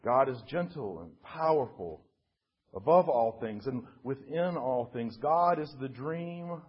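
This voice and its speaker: Man reading as old wise storybook turtle, husky, solemn, gentle, expressive pitch, dull recording. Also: slow pace. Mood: solemn, tender.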